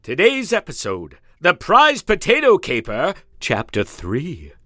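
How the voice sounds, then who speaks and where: An actor playing a grandiose narrator of a mystery radio serial, announcing with dramatic, drawn-out emphasis. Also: male voice, a little high, huge pitch swings, pushed and bright, full character voice. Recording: music behind the voice.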